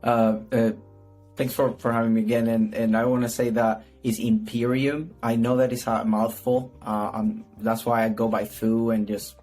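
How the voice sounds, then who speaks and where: A man's voice: medium pitch, little pitch movement, moderate pace, a little mellow, soft background music. A man from Puerto Rico, a native Spanish speaker with a Puerto Rican accent, answering casually as a podcast guest, rambling and often adding "right?". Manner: rambling, repeats words, frequent "right?" tags. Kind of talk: casual conversational interview answers.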